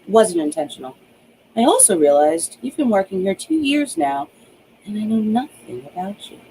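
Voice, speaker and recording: A woman's voice: mid-range voice, very animated, normal speed, mellow, a little hiss or hum, pitched above the performer's own voice, poor audio. A woman voicing a flustered, apologetic female doctor in an audiobook.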